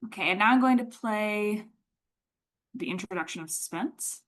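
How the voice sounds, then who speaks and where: A woman's voice: mid-range voice, moderate pace, fairly bright tone. A woman giving an informal lecture, explaining her examples with enthusiasm in a conversational way.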